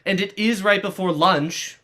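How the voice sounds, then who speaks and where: A man's voice: sits high, a little slow, pushed and bright. A man playing a defiant, sarcastic teenage schoolboy with a cheeky, melodramatic manner in a play reading. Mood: protesting. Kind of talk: dramatized play script reading.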